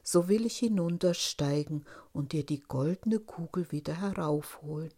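A woman voicing a male talking frog from a storybook, deliberate and insistent as he bargains. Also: deep voice, warm tone. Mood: coaxing, bargaining.

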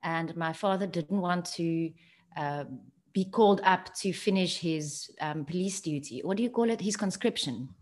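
South african woman, afrikaans is her first language, soft reflective storytelling, lowish voice. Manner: soft-spoken, reflective, some halting restarts. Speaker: guest. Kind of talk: personal storytelling.

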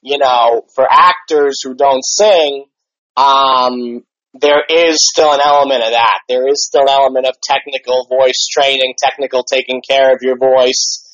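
A man who used to act, hosting an interview in a relaxed, halting way with lots of fillers. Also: medium pitch, no room sound. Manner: relaxed, hesitant, lots of ums and you knows. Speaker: podcast interviewer, former actor. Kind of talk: casual interview chat. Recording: dry, slightly dull podcast audio.

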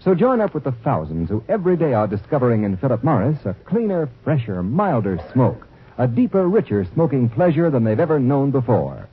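A man's voice: medium pitch, wildly swooping pitch, fairly quick, breathy edge. A man reading a 1940s radio commercial, persuasive and emphatic. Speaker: commercial announcer. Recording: tinny old radio broadcast.

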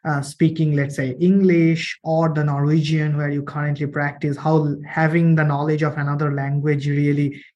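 Guy hosting an interview, slightly high voice, pretty flat and monotone, long rambly questions. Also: normal speed, highs cut off. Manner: long winding questions with fillers. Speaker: interviewer, podcast host.

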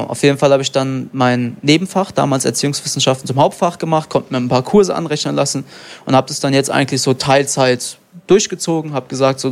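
Relaxed young German fighter, rambling. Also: male voice, a little high, gravelly. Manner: relaxed, rambling with many fillers. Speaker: MMA fighter and guest. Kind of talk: casual interview.

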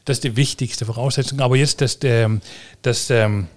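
A man's voice: mid-range voice, fairly even intonation, moderate pace. Chatty middle-aged male host. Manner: chatty, hesitant, many fillers. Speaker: podcast host. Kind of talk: casual interview talk.

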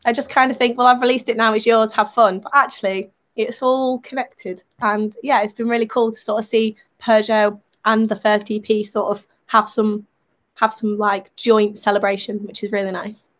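A young woman chatting as a guest on a podcast, warm-hearted and quick to laugh at herself. Her voice sits a little high and is slightly croaky from a sore throat. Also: little pitch movement. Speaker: singer-songwriter guest. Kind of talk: casual interview chat.

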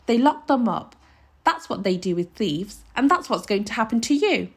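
A woman's voice: a little high, big pitch swings, normal speed. A woman voicing a malicious, triumphant housekeeper in a storybook, with a scornful, threatening manner.

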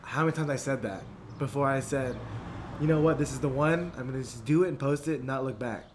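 A young man hosts a podcast in an easygoing, slangy way, leaning on "like" and "you know what I mean". His voice sits in an ordinary middle pitch. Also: fast, background noise. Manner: laid-back slangy talk, lots of "like" and "you know". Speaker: podcast host. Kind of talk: casual podcast chat.

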